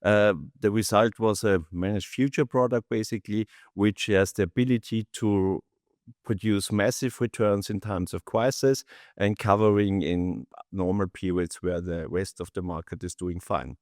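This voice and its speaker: A middle-aged man with an Austrian accent, a native German speaker, answering as a founder in an interview with halting non-native phrasing. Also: fairly low pitch, steady tone, darkish tone, dry recording, studio quality. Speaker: company founder, interview guest. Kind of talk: interview answers, recounting own career.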